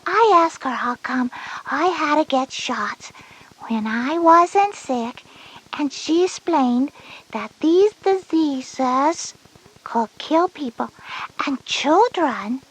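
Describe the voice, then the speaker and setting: A woman voicing a timid, anxious little girl with a breathy voice and hesitant delivery, in an educational story tape. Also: sits high, expressive, a bit bright, full character voice, rough recording. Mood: curious, puzzled. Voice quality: breathy, husky. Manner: slow, hesitant, halting. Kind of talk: scripted educational audio drama.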